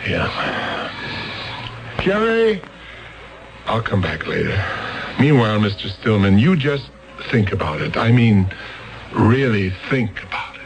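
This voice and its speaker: An actor playing a blunt, pushy police lieutenant in a 1970s radio drama. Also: male voice, on the low side, very animated, measured pace, pauses often, poor audio. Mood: pressing. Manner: blunt and pressing.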